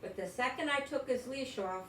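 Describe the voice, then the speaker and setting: An older woman from the United States giving an expressive read-aloud of a children's story. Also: sits high, moderate pace. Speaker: storybook reader. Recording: roomy, distant mic, faint noise.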